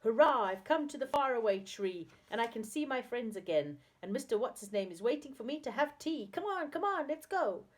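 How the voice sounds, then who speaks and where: A woman's voice: medium pitch, big pitch swings, moderate pace, few pauses, natural voice. A woman voicing a muddled, hard-of-hearing old storybook man who exclaims, repeats himself and gets indignant. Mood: overjoyed. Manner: exclaiming, repeating words, indignant when confused.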